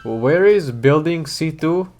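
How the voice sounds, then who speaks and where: A man's voice: on the high side, lively intonation, takes it slowly. A young man from Georgia, telling school stories in a casual, edgy, sarcastic way. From Georgia (country). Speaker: YouTuber. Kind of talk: comedic storytelling narration. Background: background music.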